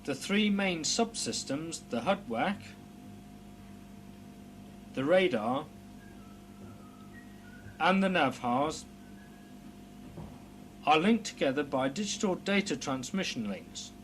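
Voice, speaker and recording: Formal male training-film narrator, noisy hum. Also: expressive. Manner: formal, measured, precise explanatory delivery. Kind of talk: scripted instructional narration. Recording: clear background noise with low hum.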